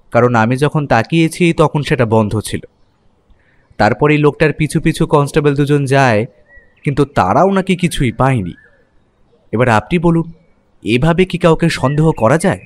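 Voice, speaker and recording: Animated high-voiced shop worker, played by a man. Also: moderate pace, natural voice. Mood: earnest, defensive. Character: earnest male shop employee giving a witness account. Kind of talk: audio story acting.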